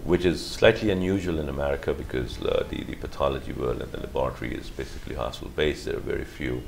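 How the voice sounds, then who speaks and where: A middle-aged man, a physician answering interview questions in a measured, halting way with many fillers and restarts. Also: extremely low pitch, creaky, slight background noise. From Pakistan. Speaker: guest, physician.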